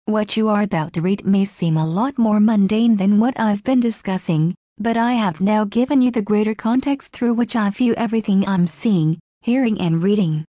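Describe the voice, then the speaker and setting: Mellow woman reading an article aloud. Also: mid-range voice, normal speed, few pauses, no room sound, clean studio sound.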